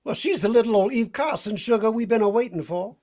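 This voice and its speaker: An actor playing a folksy, easygoing cowboy sidekick with a Southern US drawl and husky voice, in a vintage radio drama. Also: male voice, high voice, fairly quick, a little mellow. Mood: playful, easygoing. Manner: drawling folksy slang with chuckles. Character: folksy, easygoing Texan-style cowboy sidekick, tough but playful.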